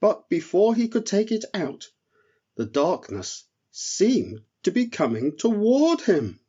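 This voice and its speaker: A man reading a children's story as narrator, in a measured, expressive way. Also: high-pitched, slightly rough, highs cut off. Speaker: storyteller, author reading own work.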